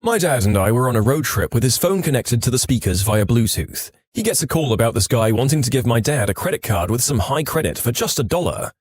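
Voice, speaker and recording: A man reading online stories as a scripted podcast narration, with an animated storytelling delivery, close on the microphone. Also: mid-range voice, a little fast, hardly any pauses.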